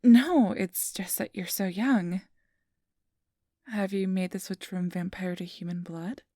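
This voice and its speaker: A woman playing a friendly, easily flustered registration clerk in a soft-spoken ASMR roleplay, gentle, hesitant and reassuring. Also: mid-range voice, steady tone, unhurried, dry recording, studio quality, natural voice. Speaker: interviewer.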